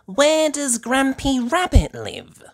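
A man's voice: very high voice, huge pitch swings, slow, pitched above the performer's own voice, heavily acted. A man voicing an eager, curious little girl piglet in a children's cartoon storybook, childlike and exclaiming.

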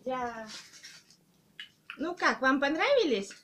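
A woman's voice: high voice, very animated, takes it slowly, fairly bright tone. A young woman chatting casually to small children as a mother hosting a home video, in an encouraging sing-song way. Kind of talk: casual child-directed chat. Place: small living room at home. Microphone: handheld camera or phone mic, behind the camera. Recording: noisy home phone-camera recording.

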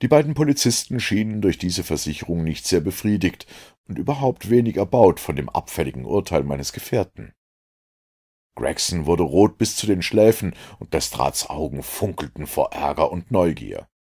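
Deep, gravelly male audiobook narrator. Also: sing-song, normal speed, a little mellow. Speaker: audiobook reader.

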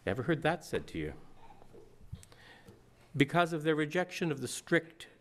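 A man's voice: a little high, big pitch swings, moderate pace. A minister in his sixties giving a storytelling sermon in a church, folksy, now and then singing a line. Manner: folksy, breaks into song. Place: church sanctuary.

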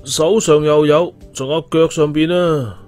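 A man's voice: on the high side, fairly even intonation, a little slow, a little mellow, faint music behind, lightly acted. A man voicing a childish, groggy, oblivious male mental patient in an audiobook story. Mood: whiny. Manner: groggy, pouting.

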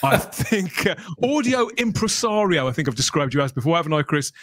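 A middle-aged man hosts a fan podcast in a chatty, enthusiastic way. His voice sits a little high and moves around a lot, with joking asides. It is recorded close and dry. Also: big pitch swings, brisk, fairly bright tone, dry recording. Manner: enthusiastic, jokey, wordy. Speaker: podcast host. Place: small room at home, on a video call. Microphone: close podcast microphone.

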